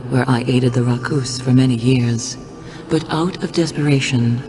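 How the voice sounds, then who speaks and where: Stately wise oracle, played by a man, mid-pitched. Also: darkish tone, close to an everyday voice. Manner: formal, stately. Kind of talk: dramatic scripted game narration.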